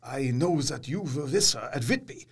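An actor playing a grave, courtly foreign doctor in an audio drama, speaking formally in halting, foreign syntax. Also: male voice, mid-range voice, animated, slightly rough. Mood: grave, courteous. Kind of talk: radio drama acting.

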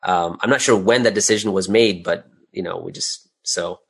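Low-pitched man, casual interview guest. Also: moderate pace, vocal fry, breathy edge. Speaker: interview guest, audio drama creator. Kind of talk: casual interview answers, anecdotal.

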